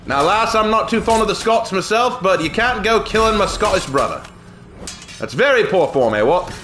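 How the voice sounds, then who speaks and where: A man's voice: high voice, lively intonation, moderate pace, fairly bright tone, a little hiss or hum. A young man with a British accent streaming a game live, joking theatrically and cursing in British slang. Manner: theatrical, jokey, lots of British slang and swearing. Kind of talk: live gameplay commentary.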